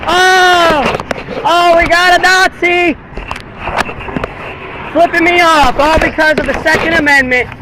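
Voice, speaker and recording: American man, very high voice, declamatory street protester, outdoor traffic noise. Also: steady tone, slow, pauses often. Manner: declamatory, repeating slogans. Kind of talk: street protest slogans and chat with passersby. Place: outdoors by a busy road.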